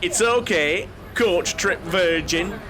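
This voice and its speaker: An actor playing a chatty, camp, fussy coach-trip enthusiast with a theatrical, over-familiar manner in a comic audio drama. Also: male voice, high voice, slow, music in the background. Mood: gleeful, teasing.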